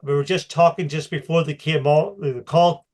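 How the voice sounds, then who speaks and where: Slightly high-voiced man hosting a video call. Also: steady tone, normal speed, darkish tone. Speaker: host.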